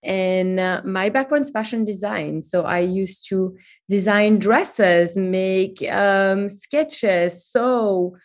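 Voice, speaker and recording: A woman's voice: mid-range voice, takes it slowly. A woman in her thirties from Belgium, chatting as a guest in a remote interview and telling stories with many fillers. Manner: chatty, animated storytelling with fillers. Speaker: guest, company CEO.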